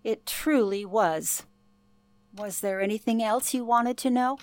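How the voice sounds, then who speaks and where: A woman playing a gracious elderly lady in a radio play, speaking politely and gently as she looks back. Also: on the high side, measured pace, no echo, close to an everyday voice. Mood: gracious, warm. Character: gracious elderly woman reminiscing about a night in 1938.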